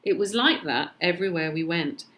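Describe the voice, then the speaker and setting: A woman with a British accent reading a children's storybook aloud in an expressive narrating style. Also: lowish voice, moderate pace. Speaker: storybook reader.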